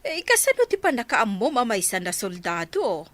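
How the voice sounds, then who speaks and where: A woman's voice: a little high, wildly swooping pitch, moderate pace, fairly bright tone. A woman playing a curious mother in radio drama dialogue. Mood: curious, reflective. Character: curious mother of a grown-up daughter.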